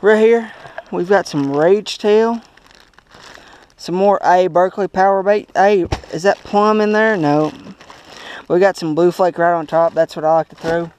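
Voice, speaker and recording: A young man giving a playful, teasing tour of a bass boat outdoors on a lake, laughing often, recorded on an action camera. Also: a little slow, darkish tone, smooth. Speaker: vlog host.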